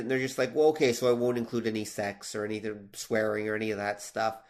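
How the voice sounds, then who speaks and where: A man co-hosting a casual discussion, explaining his points in a conversational way with everyday hypothetical examples. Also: medium pitch. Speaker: podcast co-host.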